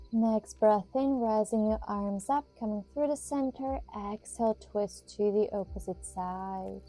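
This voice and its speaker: Female yoga instructor, deeply relaxed warm tone, soothing measured guidance for meditation, music underneath.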